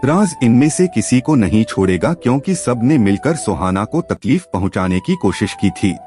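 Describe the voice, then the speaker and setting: A man narrates a scripted story in a fairly low voice. His delivery is dramatic and very lively in pitch, swinging up and down as he reads. Soft music plays behind him. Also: moderate pace. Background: background music.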